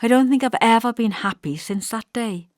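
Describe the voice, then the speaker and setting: A woman voicing a brittle, wistful young pregnant wife in an audiobook, with a detached manner that drifts from bright to toneless. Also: a little high, normal speed, dry recording, clean studio sound, voice pushed up, natural voice.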